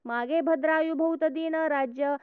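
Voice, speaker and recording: A woman reciting old devotional verse in a steady, measured chanting manner. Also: high voice, dry recording. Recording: tinny phone-like sound.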